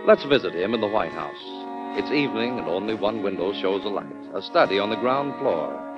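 A man's voice: lowish voice, lively intonation, a little fast. A man announcing a vintage radio drama with a grand, dramatic scripted delivery. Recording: dull old radio broadcast recording. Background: music.

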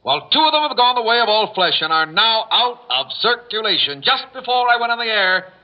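An actor playing a breezy pop radio disc jockey in a drama, chatty and teasing, on a dull old recording. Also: male voice, sits high, very animated, brisk. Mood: breezy, mock-mournful. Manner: chatty, teasing address to teenage girls.

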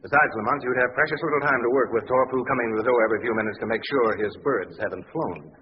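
A man's voice: medium pitch, quick pace, long reverb tail, natural voice. A male actor playing an earnest, injured doctor in an old-time radio drama. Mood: grim, urgent. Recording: muffled, noisy old radio recording.

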